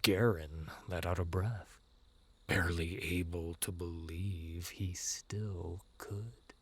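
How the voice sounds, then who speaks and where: Slow, low-voiced man narrating dark fantasy. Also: fairly even intonation, slightly rough. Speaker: audiobook narrator. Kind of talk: dramatic scripted fantasy narration.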